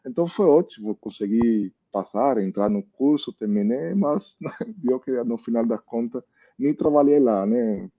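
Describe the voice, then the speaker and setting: A man's voice: medium pitch, expressive, measured pace, muffled recording. A man from Cuba with a Cuban Spanish accent, a meteorologist speaking Portuguese as a guest in a casual interview, tagging his sentences with "né?". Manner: thoughtful, many "né?" tags.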